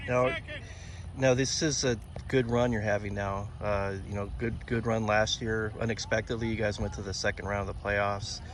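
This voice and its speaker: A man's voice: on the low side, level tone, moderate pace. A man working as a sports reporter, asking casual interview questions. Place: outdoors by a sports field. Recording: noisy outdoor field recording.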